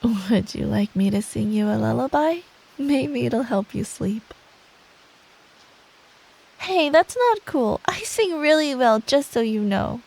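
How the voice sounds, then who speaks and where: A woman playing a sweet, caring, teasing girlfriend in an intimate ASMR roleplay, talking softly and breathily. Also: on the high side, expressive, exaggerated voice, rough recording. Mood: mock offended. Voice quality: soft, breathy. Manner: slow, affectionate, playfully teasing. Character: sweet, teasing, caring girlfriend telling an improvised bedtime story.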